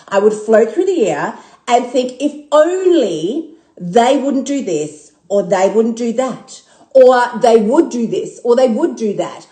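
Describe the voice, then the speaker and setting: A woman's voice: a little high, a little mellow, reverberant. A woman in her fifties giving a blunt, casual motivational talk to viewers, swearing freely and laughing often. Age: 50s. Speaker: vlogger and motivational speaker.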